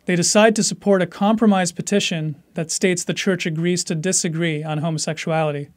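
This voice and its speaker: A man's voice: sits high, fairly even intonation, brisk. A man reading scripted documentary narration as the narrator.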